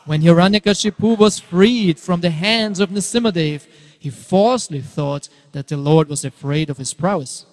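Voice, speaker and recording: A man narrating a dramatic scripture reading in a theatrical, emphatic way, swelling and hushing for effect. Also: sits high, normal speed. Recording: long reverberant hall echo.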